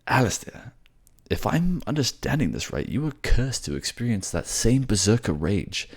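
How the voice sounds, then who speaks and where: Man acting an animated, exasperated male mage. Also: mid-range voice, normal speed, slightly rough, voice pushed up. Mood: incredulous, worried. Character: concerned male mage companion, exasperated, affectionate. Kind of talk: fantasy audio drama roleplay.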